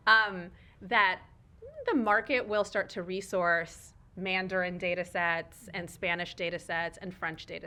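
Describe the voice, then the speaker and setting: A woman's voice: lowish voice, bright, forceful tone. A woman whose first language is Urdu, a guest speaking at a live fireside chat with an audience, thoughtful and expansive, often tagging her points with "right?" and "like". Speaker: guest speaker, foundation leader. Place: event room with audience.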